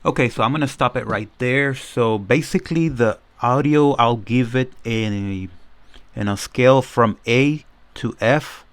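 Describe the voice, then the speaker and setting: A man's voice: mid-range voice, unhurried, no room sound. A man giving a casual, informal product demo, explaining things step by step as a reviewer. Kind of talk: casual unboxing and demo commentary.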